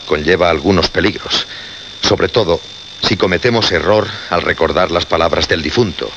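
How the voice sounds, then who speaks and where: An actor with a Castilian Spanish accent playing an obsessed, unnerved radio presenter in a suspense drama, solemn and insistent. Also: male voice, deep voice. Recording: muffled, noisy radio drama recording with hum.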